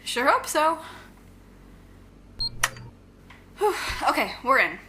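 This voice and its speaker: A breezy young female crook played by an actress, teasing and flippant. Her voice is a little high and swings in pitch with playful ease. It is a naturalistic audio drama with slight noise behind. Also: very animated, moderate pace, bright, forceful tone, full character voice. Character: breezy, teasing young bank-robbing shapeshifter villain.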